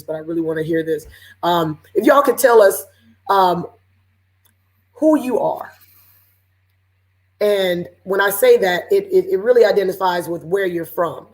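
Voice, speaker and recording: A woman from Seattle with a raspy voice, hosting a casual panel chat over a video call with lots of fillers. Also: lowish voice. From Seattle, Washington. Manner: relaxed, chatty, lots of fillers. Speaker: panel host.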